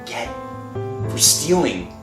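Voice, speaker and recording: A woman's voice: extremely low pitch, very animated, slow, full character voice. A woman playing a guilt-ridden, haunted narrator in old-time radio horror, brooding and dramatic. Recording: echoey, faint music underneath.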